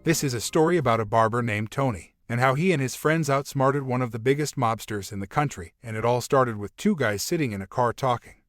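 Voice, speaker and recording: A man's voice: medium pitch, a little fast, hardly any pauses, no room sound, soft background music. A man reading scripted narration for an audio story as its storyteller.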